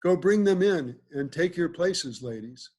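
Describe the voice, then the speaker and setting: A man's voice: a little high, lively intonation, measured pace, darkish tone, slightly rough. A man reading the part of a lordly, genial ruler in Shakespearean verse at an amateur table read over video call. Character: lordly, genial male ruler of Athens, a bridegroom. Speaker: amateur reader in a play.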